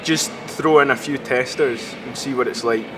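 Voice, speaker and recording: A young man from Scotland, a student and stand-up comedian, chatting casually and in a low-key way in an interview. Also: moderate pace, music in the background, low-quality recording. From Scotland (Glasgow). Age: young adult. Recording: street noise outdoors.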